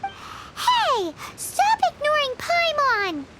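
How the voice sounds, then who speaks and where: A woman voicing a tiny, childlike, cheeky fairy companion in a video game, blunt and teasing, with a squeaky voice. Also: huge pitch swings, very slow, slight background noise, heavily acted, low-quality recording. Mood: indignant. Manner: speaks of herself in third person, blunt, teasing. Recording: music loud behind the voice.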